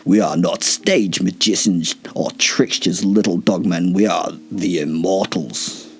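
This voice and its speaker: A man voicing a cold, haughty ancient male vampire in a storybook reading, speaking slowly with pompous disdain. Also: deep voice.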